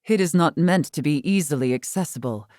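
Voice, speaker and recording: A woman's voice: low voice, brisk, mellow, no room sound, clean studio sound, lightly acted. An actress playing a dignified, formal woman warrior-scholar in a video game, speaking in stately, archaic phrasing. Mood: measured, watchful. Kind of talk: scripted video game character lines.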